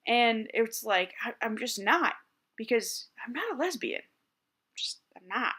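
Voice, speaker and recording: A man in his thirties with a higher voice, talking candidly in a reflective personal vlog and often restarting his sentences as he thinks aloud. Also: animated, measured pace, bright, forceful tone, husky. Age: 30s. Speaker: vlogger.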